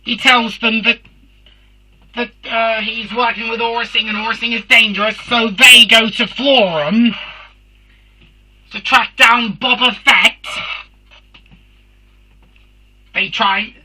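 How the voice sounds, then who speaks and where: A performer voicing a Dalek, a robotic alien warrior hosting a fan review show, with a harsh filtered voice and halting, emphatic delivery. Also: medium pitch, fairly even intonation, bright, forceful tone, husky, exaggerated voice, rough recording. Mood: explaining.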